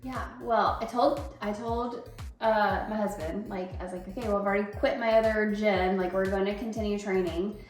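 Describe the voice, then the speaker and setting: A woman's voice: mid-range voice, steady tone, moderate pace. A woman in her thirties chatting casually and effusively, like a vlogger. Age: 30s. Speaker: vlogger, amateur bodybuilding competitor. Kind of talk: casual, gushing chat. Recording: echoey room, distant mic, faint music.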